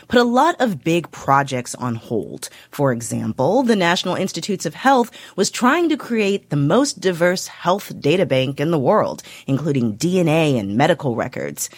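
A woman hosting a radio news program, reading a crisp scripted introduction. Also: low-pitched, no room sound. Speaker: news show host.

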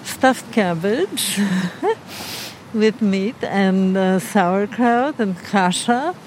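A woman in her eighties from Ukraine, interviewed outside a restaurant, speaking slowly with soft laughs. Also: mid-range voice, a little hiss or hum. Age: 80s. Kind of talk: street interview.

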